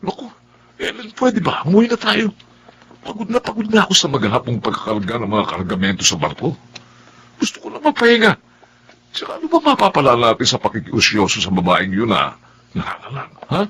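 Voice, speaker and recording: A man's voice: mid-range voice, sing-song, fairly quick, sharp tone, a little hiss or hum. A man acting a weary, grumbling working-class dockworker husband in a Filipino radio drama. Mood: weary, exasperated. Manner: exasperated, complaining.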